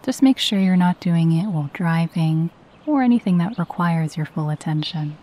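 A woman leads a guided meditation in a mellow, slightly low voice. Her tone is soft and husky, with plenty of breath in it. She speaks soothingly and takes her time over each phrase. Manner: gentle, soothing, unhurried delivery. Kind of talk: guided meditation with spoken affirmations.

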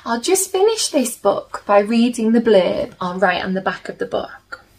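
A woman in her thirties reading a picture book aloud to children in a gentle, deliberate manner, with chatty asides. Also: medium pitch, very animated. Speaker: children's story reader. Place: small plain room indoors.

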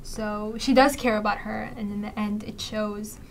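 A woman's voice: medium pitch, flat delivery, moderate pace, gravelly, low-quality recording. A teenage woman, a student actor, answering informally in a casual panel interview. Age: teens. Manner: informal, hedging with "like" and "kinda". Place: small indoor studio. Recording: faint background room noise.

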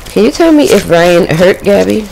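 A woman's voice: medium pitch, animated, normal speed, vocal fry, darkish tone, slight background noise, poor audio. A woman doing a casual tarot reading at a home table, chatting to the spirit and her viewers. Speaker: tarot reader.

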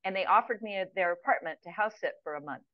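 A woman in her sixties from the United States, a retired guest chatting in a relaxed, storytelling way with lots of ums and restarts, talking over a video call from her home. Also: mid-range voice, quick pace, no room sound. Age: 60s. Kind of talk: casual interview chat. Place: home room with plants, indoors. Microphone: video call webcam. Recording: muffled video call audio.

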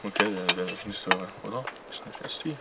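A man's voice: on the low side, fairly quick, crowd noise. A man joking and swearing casually while eating at a night forest camp. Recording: distant mic, noisy outdoors.

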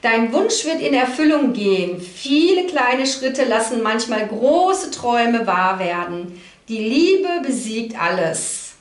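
A woman's voice: on the high side, expressive, takes it slowly. A middle-aged woman giving an informal card reading to viewers, conversational and reassuring, often checking in with little tag questions. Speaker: card reader, host. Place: indoor room, at a card table. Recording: echoey room sound.

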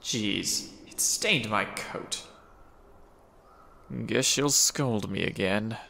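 An actor playing a suave, courteous gentleman hunter in a comic dub, speaking in a genteel, theatrical way with a calm menace. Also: fairly low pitch, drawn-out words, vocal fry, a little hiss or hum, heavily acted. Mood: fond, wry. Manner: genteel, theatrical, old-fashioned endearments.

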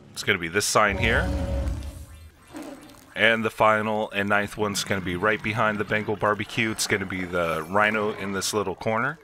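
A man's voice: lowish voice, noisy background. A man hosting a video game walkthrough, explaining each step in an instructional way. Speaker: gameplay video host.

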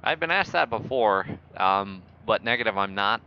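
A man's voice: fairly low pitch, expressive, normal speed. A man improvising as an air traffic controller in a flight simulator game, bossy, sarcastic and mock-authoritative. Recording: muffled voice chat with faint noise.

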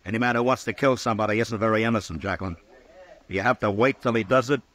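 An American actor playing a steady, stern town marshal in an old radio western, speaking in a measured, laconic way. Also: male voice, fairly low pitch, no room sound, lightly acted. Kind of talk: mid-century American radio western acting.

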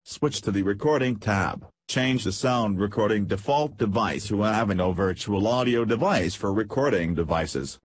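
A man reading a scripted step-by-step software tutorial in a measured, instructional manner. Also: fairly low pitch, a little hiss or hum. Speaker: tutorial narrator.

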